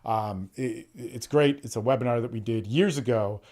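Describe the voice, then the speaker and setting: A man's voice: medium pitch, moderate pace, a little mellow. A man hosting a solo podcast, talking bluntly and profanely, often restarting words and acting out quotes. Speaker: podcast host and coach.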